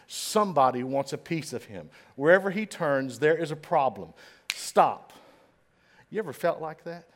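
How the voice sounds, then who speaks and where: A man preaches from a church stage, swinging between loud and hushed for dramatic effect. His voice sits a touch high, and he stutters now and then. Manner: stutters at times. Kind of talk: sermon storytelling.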